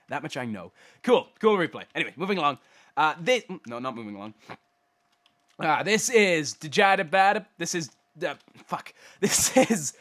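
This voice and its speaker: Stuffy-nosed young man, lively commentary. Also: on the high side. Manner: rambling, self-deprecating, stumbles over names. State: blocked nose from a cold. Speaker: host commentating game replays. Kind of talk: casual replay commentary.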